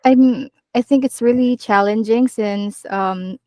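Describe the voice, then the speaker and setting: A woman in her twenties, a call center agent being interviewed, answering earnestly with many pauses and ums. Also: on the high side, warm tone, no echo, clean studio sound. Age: 20s. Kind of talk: casual interview chat.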